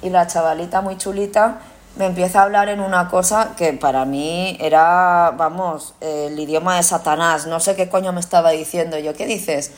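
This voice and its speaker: A woman's voice: fairly low pitch, steady tone, normal speed. A woman with an accent from Spain chatting casually as a podcast host, telling stories bluntly with swearing and acted-out dialogue. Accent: Spain Spanish. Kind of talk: casual chat and anecdote.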